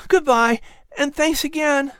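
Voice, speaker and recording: A narrator voicing an eager, grateful young colonial boy with an earnest, excitable manner. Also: high-pitched, measured pace, dry recording, pitched above the performer's own voice. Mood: delighted, grateful.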